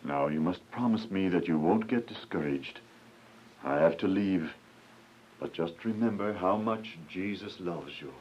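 An actor playing a gentle, devout, fatherly visitor who speaks tenderly and reassuringly in a religious radio drama. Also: low-pitched, measured pace. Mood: tender, earnest. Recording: dull, noisy lo-fi radio drama.

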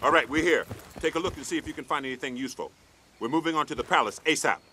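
An actor playing a commanding army sergeant in a military shooter game, barking terse orders and radio jargon. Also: male voice, a little high, rapid-fire, lightly acted. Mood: brisk. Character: gruff, commanding army sergeant leading a squad. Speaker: squad sergeant. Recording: loud battle and vehicle noise.

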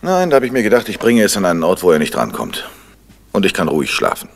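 An actor playing a determined, commanding detective in a vintage radio crime drama. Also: male voice, sits low, wildly swooping pitch, quick pace, creaky, full character voice. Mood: controlled, reassuring. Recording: noisy vintage radio recording.

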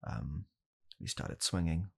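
A young man playing a blunt, callous father in an ASMR comedy roleplay, speaking softly and haltingly in a deadpan way. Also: sits low, creaky, slightly rough, heavily acted. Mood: deadpan, bleak. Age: young adult. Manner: soft-spoken, halting, deadpan. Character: blunt, callous father calmly blaming his child. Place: small home room, indoors.

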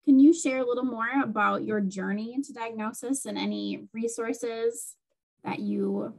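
A woman's voice: on the high side, steady tone, normal speed, vocal fry, a little mellow. A young woman in her twenties moderating a panel discussion over a video call, steadily leading with questions.

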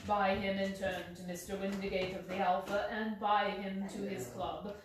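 A woman voicing a calm, clever male detective in a lower, bland and measured tone, recorded from a distance in a roomy space. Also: natural voice. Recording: roomy, distant mic, faint hiss.

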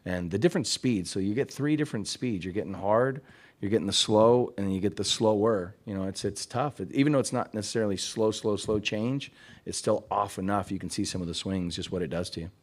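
A middle-aged man, a head coach, answering press questions at a postgame press conference in halting sentences full of restarts, into a table mic. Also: lowish voice. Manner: halting, many restarts and fillers.

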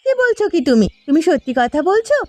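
A woman's voice: very high-pitched, big pitch swings, fast, no echo, soft background music. A woman voicing a poor farmer's caring wife in a cartoon, earnest, solicitous and pleading in manner. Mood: worried. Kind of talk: Bengali cartoon voice acting.

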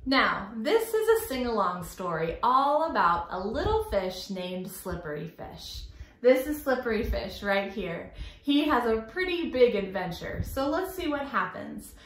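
A woman in her thirties hosting a storytime for young children, encouraging and expressive, speaking to a camera a short distance away indoors. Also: fairly high pitch, a little slow. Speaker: children's library storytime host. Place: small indoor room, echoey. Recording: echoey room.

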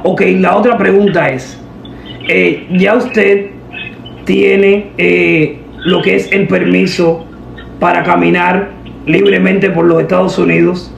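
A young woman making a deadpan prank phone call, giving instructions in an official-sounding manner. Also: on the low side, slow pace, creaky, echoey room, a little hiss or hum. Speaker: prank caller, host. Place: indoor room at a table. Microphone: camera a short distance away.

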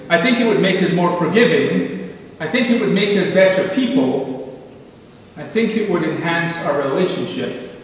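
A middle-aged man preaches at a slow, deliberate pace, leaning into repeated phrases. His voice sits a little high for a man and rings through a big, reverberant sanctuary. He is picked up from a distance at the pulpit, with faint room ambience behind. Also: a little hiss or hum. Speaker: pastor. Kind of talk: sermon. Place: church sanctuary, standing at a pulpit. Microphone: pulpit microphone heard from a distant camera. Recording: echoey church hall from far off.

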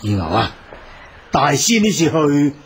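An actor playing an anxious, chatty travelling companion in a radio drama, with colloquial Hong Kong Cantonese speech full of exclamations. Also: male voice, on the high side, very animated, a little slow, slight background noise. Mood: worried.

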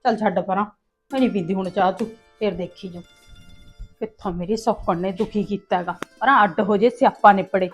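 A woman's voice: very high-pitched, moderate pace, fairly bright tone, soft background music. A woman playing an overworked village woman who mutters complaints to herself in an amateur comedy skit. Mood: scolding. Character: grumbling village woman, overworked and sharp-tongued.

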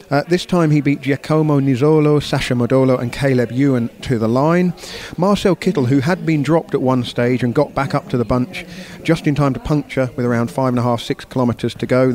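A man's voice: medium pitch, darkish tone. A man co-hosting a cycling podcast, giving conversational race round-ups and commentary. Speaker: sports podcast co-host. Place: bar of a sports complex.

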